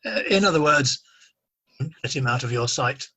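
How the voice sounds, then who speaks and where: A man's voice: mid-range voice, animated, normal speed, pushed and bright, poor audio. A man playing a fussy, self-important doctor in an amateur comic radio play, with pompous theatrical delivery. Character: fussy, self-important mad-doctor, echoing his colleague. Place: home, recorded over a video call.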